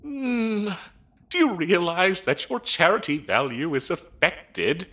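Man playing a fussy, animated demon bureaucrat. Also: high voice, takes it slowly, heavily acted. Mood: exasperated, disappointed. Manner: officious, hesitant, chatty, dryly polite. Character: fussy, bureaucratic male demon interviewer in hell. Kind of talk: comedic audio roleplay acting, addressing the listener.

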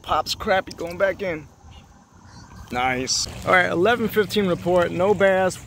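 A man's voice: sits high, unhurried, vocal fry. Chatty man fishing from a boat. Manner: excited, chatty, talks to the camera. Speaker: fishing vlogger. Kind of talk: casual narration while fishing. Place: small boat on a lake outdoors. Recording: faint outdoor noise.

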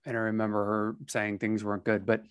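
A man's voice: lowish voice, monotone, brisk, clear, resonant tone, no room sound, clean studio sound. American man, rambling host on headset mic. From United States. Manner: rambling, hesitant, many fillers. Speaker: podcast host. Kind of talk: casual interview conversation. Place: small home studio room. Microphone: headset with a close mic.

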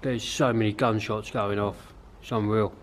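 A man's voice: fairly low pitch, fairly even intonation, moderate pace, background noise. A man casually narrating as he explores an abandoned farm, wondering aloud and talking to viewers. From England. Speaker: urban explorer vlogger. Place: outdoors and in derelict farm barns.

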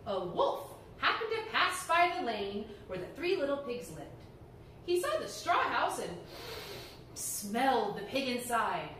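A young woman reads a children's story in a high, very animated voice with theatrical emphasis. She is recorded in a strongly echoing room, some distance from the microphone. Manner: theatrical, expressive, emphatic delivery. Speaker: library storyteller, reader. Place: indoor room before folding screen. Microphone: camera microphone a few metres away. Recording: echoey room, distant mic, background noise.

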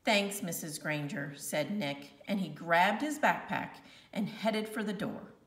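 A woman reads a children's book aloud at an unhurried pace, with very lively pitch. She phrases deliberately and leans on key words. The sound is roomy. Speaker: narrator reading a children's novel aloud. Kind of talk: read-aloud storytelling. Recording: roomy, slightly distant home recording.

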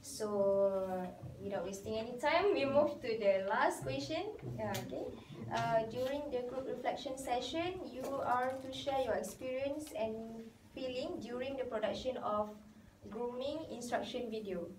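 A young woman leading a student group discussion in a meeting room, halting with many fillers. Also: fairly high pitch, darkish tone. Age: young adult. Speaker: discussion moderator. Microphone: far camera. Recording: roomy, distant, noisy.

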